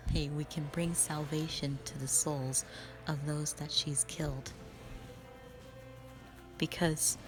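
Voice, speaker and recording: A woman playing a grave, wary elder witch sister in a dramatic audio roleplay, speaking solemnly with some hesitation and hushed warnings. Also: low-pitched.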